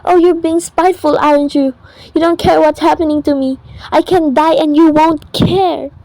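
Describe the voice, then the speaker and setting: High-pitched, panicked wife. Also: female voice, steady tone, moderate pace, dark tone, no echo, poor audio. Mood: hysterical. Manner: frantic, pleading, self-pitying, repeats herself. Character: panicked, querulous invalid wife alone at night. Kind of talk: radio thriller acting.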